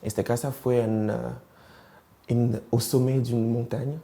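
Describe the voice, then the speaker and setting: A man's voice: medium pitch, flat delivery, unhurried, warm tone. A man in his twenties from Rwanda, answering interview questions hesitantly and searching for words. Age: 20s. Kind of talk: personal interview, switching languages.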